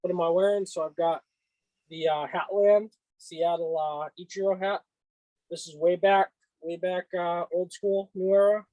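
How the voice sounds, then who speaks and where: A man's voice: high voice, steady tone, unhurried, dark tone, dry recording, low-quality recording. A man with a Boston accent chatting casually about sports as co-host of a hobby show. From Boston, northeastern US. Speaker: co-host of a cap and card show.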